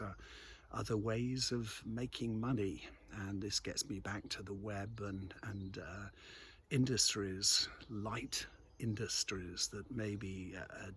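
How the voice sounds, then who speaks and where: Older English man, low husky voice, hesitant thoughtful delivery, reflective outdoor vlog monologue. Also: fairly bright tone. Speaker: vlogger. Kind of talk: reflective monologue to camera on a walk. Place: outdoors in countryside.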